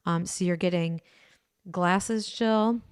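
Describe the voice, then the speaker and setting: Chatty low-voiced woman podcast host. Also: slow pace, clear, resonant tone, no room sound, clean studio sound. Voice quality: deeper voice in the evening. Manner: chatty, joking, laughs often. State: tired late in the day. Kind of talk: casual chat.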